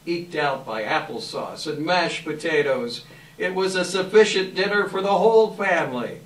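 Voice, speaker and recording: In a roomy, echoing space and some way from the microphone, a man narrates a classic tale in a live reading. His voice sits slightly high, with expressive, measured storytelling. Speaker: narrator, readers theater volunteer. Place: live event room. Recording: echoey room, distant microphone.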